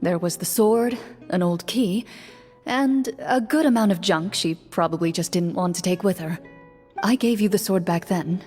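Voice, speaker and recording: An actress playing a composed, serious young game master who speaks formally, in a measured and deadpan way. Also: female voice, lowish voice, natural voice. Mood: composed, reflective. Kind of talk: scripted game voice acting, narrating a tabletop game. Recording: echoey hall reverb, music behind.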